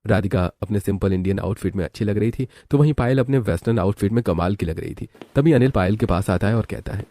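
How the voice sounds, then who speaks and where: A man's voice: fairly low pitch, quick pace, mellow, dry recording. A man reading scripted narration for a story.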